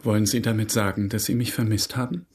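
An actor playing a courteous, inquisitive gentleman suitor in a German radio drama, formal and gently probing. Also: fairly low pitch, fairly even intonation, fast talker, husky.

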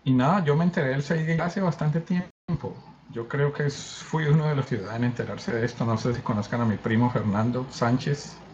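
A man's voice: medium pitch, fairly even intonation, a little fast, darkish tone. A man from Cali, Colombia, with a Cali accent, introducing himself hesitantly and casually on a voice call. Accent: Colombian Spanish, from Cali. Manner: hesitant, casual, many fillers. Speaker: participant. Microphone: computer mic on a voice call. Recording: dull lo-fi voice call, faint noise.

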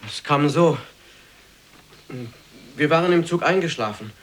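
Radio play: bewildered male hotel guest, courteous minor official, hesitant, lively intonation. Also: on the high side, measured pace, a little hiss or hum. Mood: bewildered, modest. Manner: courteous, hesitant, self-effacing. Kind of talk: German radio play acting.

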